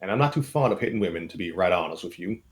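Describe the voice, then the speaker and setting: Man playing a polite, low-voiced Southern engineer. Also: animated. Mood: courteous warning. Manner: courteous, folksy, measured warning. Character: polite, steady Southern engineer mercenary, gently warning.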